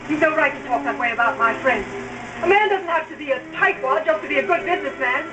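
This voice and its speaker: An actress playing a quarrelsome young wife in a 1930s melodramatic film style. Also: female voice, high-pitched, quick pace, lightly acted. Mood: irritated. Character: nagging young wife, quarrelsome, later contrite. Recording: noisy old film soundtrack.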